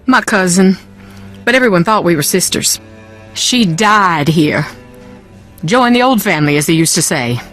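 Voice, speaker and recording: A wounded, defensive Southern woman in a mystery video game. Her pitch moves dramatically, and her manner is brittle and haughty. Faint music sits underneath. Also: lively intonation, normal speed, pauses often, fairly bright tone. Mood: wistful, uneasy.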